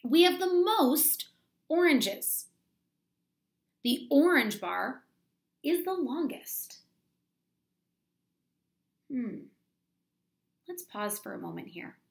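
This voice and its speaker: Female elementary teacher, read-aloud for young children. Lively swooping pitch with creaky fry, deliberate and instructional. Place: small plain room at home, indoors.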